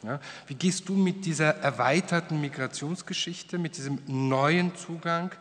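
A man's voice: on the high side, unhurried, a bit bright. Middle-aged male moderator, probing questions, table mic, reverberant hall. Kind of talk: panel discussion. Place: wood-panelled hall, indoors. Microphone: table microphone.

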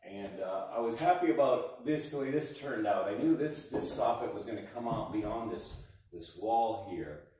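A middle-aged man giving a plain, relaxed walkthrough of his renovation work, filmed on a camera. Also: on the low side, moderate pace, distant mic. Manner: plain, relaxed explaining with fillers. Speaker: home renovator. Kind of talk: casual walkthrough narration. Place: gutted house under renovation, indoors. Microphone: camera mic, selfie at arm's length and far camera. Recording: echoey bare room, sometimes off-mic.

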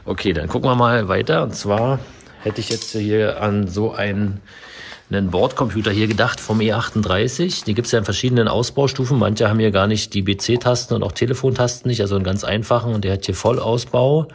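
Low-voiced man explaining car wiring. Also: a little fast, vocal fry, slight background noise. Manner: casual, explanatory, with frequent fillers. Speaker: car mechanic and video host. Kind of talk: hands-on workshop walkthrough. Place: indoor car workshop beside a stripped car.

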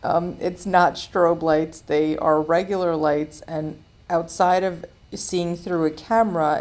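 A woman's voice: sits low, darkish tone. A woman from the United States, a travel vlogger, narrating a walking tour in a chatty, casual way with many fillers.

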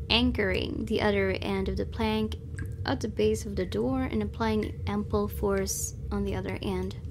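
A woman hosting a let's play, reading a visual novel's narration aloud and adding casual comments of her own. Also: mid-range voice. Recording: clear background noise under game ambience.

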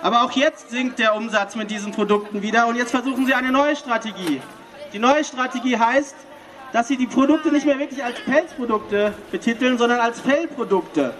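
A man's voice: very high voice, normal speed, a bit bright. A man giving an explanatory talk, recorded from a little way off. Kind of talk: explanatory speech. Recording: distant voice with faint noise.

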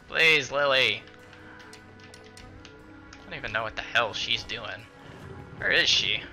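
Bright, creaky-voiced man doing game commentary. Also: mid-range voice, slow pace. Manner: muttering, sweary, talks to game characters. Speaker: gaming video host. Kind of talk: casual let's play commentary. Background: game music.

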